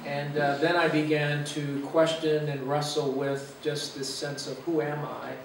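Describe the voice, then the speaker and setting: A man in his fifties from Los Angeles, giving an earnest, reflective talk as a guest speaker with frequent "uh" pauses. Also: fairly high pitch, level tone, slight background noise. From Los Angeles, USA. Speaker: guest speaker, university president. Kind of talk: personal talk to a church gathering. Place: meeting room or church hall. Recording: echoey room, mic far away.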